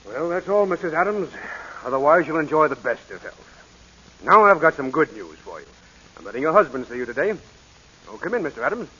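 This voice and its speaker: An actor playing a brisk, reassuring male doctor with a husky voice in 1940s radio drama. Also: on the high side, sing-song, pushed and bright, heavily acted. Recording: dull old radio recording.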